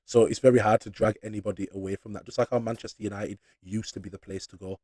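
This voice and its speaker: A young man from Leeds with a Yorkshire accent, hosting a casual football channel and giving his opinions in slangy, animated, mocking talk. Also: lowish voice, fast, husky, no echo, studio quality. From Leeds, northern England.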